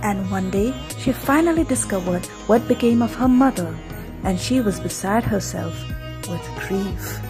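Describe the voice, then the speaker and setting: A woman narrating a scripted folktale as a storyteller, in an expressive, dramatic manner. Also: mid-range voice, unhurried. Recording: music behind the narration.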